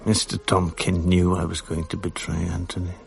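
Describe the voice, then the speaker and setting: Low, gravelly, troubled English man. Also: monotone, normal speed, music in the background. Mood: brooding. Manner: hesitant, subdued. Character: troubled, guilt-ridden, reticent English man looking back. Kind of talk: 1980s radio drama acting and narration.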